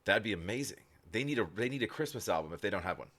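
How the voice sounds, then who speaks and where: A man's voice: lowish voice, lively intonation, racing, fairly bright tone. A man hosting a reaction video, dropping playful, joking comments between clips.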